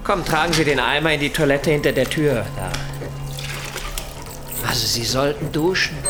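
An actor playing a coldly polite, controlling masked kidnapper, terse and deliberate, in a radio drama. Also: male voice, a little high, over music, close to an everyday voice. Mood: commanding. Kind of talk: radio play acting. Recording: loud noise behind the voice.

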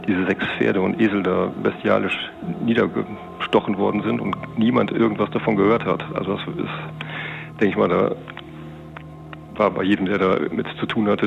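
A man's voice: on the low side, gravelly. A middle-aged man recalling events as an investigator in an unscripted interview, rephrasing himself as he goes. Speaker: police investigator recounting a case. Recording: dull lo-fi sound, music underneath. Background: music bed.

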